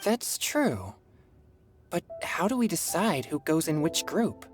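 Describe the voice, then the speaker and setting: A woman's voice: low voice, big pitch swings, takes it slowly, faint music behind, exaggerated voice. A woman playing a polite, reserved, earnest teenage boy in a video game cutscene, courteous and a little hesitant. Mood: earnest, humble. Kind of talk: scripted game cutscene acting.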